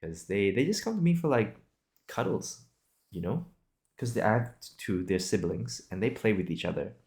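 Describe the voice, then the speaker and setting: A man's voice: on the low side, expressive, normal speed, a little mellow. A young man from Singapore and Australia chatting casually and unscripted, thinking aloud in a rambling, hesitant way. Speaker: video podcast host. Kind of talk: casual improvised solo chat.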